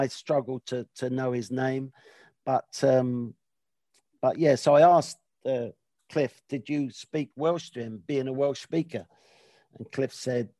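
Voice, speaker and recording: Gravelly, mellow-voiced man hosting. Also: medium pitch, no room sound. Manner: rambling, hesitant, full of restarts. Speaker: podcast host. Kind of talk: casual chat.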